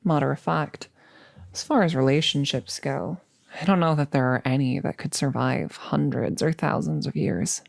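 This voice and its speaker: A woman's voice: deep voice, vocal fry, darkish tone, no room sound, clean studio sound, heavily acted. A woman playing a nervous but resolute girlfriend in an intimate ASMR audio roleplay, speaking in a hesitant, rambling, earnest way. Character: nervous, resolute woman about to become a vampire.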